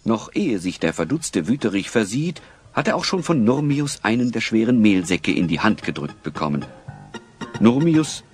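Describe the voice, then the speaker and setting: A man narrating a German children's audio drama, with dramatic, measured phrasing. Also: medium pitch. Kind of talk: scripted audio drama storytelling.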